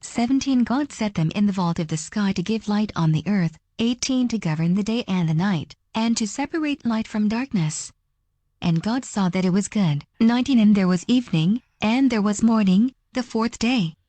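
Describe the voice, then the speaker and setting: A woman reading scripture aloud in a measured, formal manner. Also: mid-range voice, animated, mellow, dry recording. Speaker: reader.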